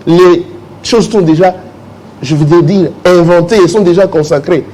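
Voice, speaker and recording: A man's voice: high-pitched, normal speed, dark tone, low-quality recording. A man speaking as a jurist guest in a morning interview, explaining legal texts and reading articles aloud to the host. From Democratic Republic of the Congo.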